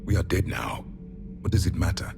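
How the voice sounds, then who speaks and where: An actor playing a grim, proud alien warrior, the last of a fallen empire, speaking in solemn, blunt declarations for a video game. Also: male voice, low-pitched, expressive, moderate pace, vocal fry, noisy background. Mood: scornful, weary. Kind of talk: dramatic video game acting. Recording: dramatic music behind the voice.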